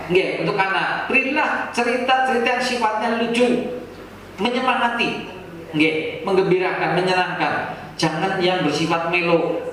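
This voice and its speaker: A man's voice: on the high side, fairly bright tone. A man with a Banyumasan Javanese accent giving an informal, didactic speech to teachers, full of rhetorical questions and colloquial Javanese. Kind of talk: informal public address to teachers. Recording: echoey room from far off, faint noise.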